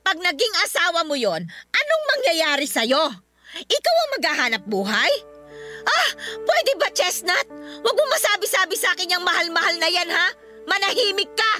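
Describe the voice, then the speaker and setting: Stern, scolding Filipino mother for radio drama: very high, sharp voice, disapproving. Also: sing-song, normal speed, faint music behind, full character voice. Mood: furious. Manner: scolding, sharp rhetorical questions. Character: stern, nagging, disapproving mother of a grown daughter. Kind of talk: Tagalog radio drama acting.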